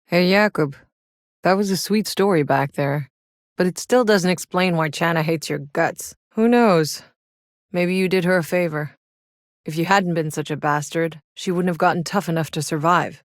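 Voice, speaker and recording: Woman acting a low-voiced, tough female sci-fi soldier. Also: moderate pace, no room sound, studio quality, natural voice. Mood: wry. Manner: gruff, sardonic, casual swagger. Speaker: video game voice actor. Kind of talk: video game voice lines.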